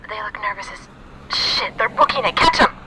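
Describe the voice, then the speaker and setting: A man voicing a quick, casual young hacker guiding the team over comms. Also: high-pitched, animated, very noisy, voice pushed up. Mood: urgent.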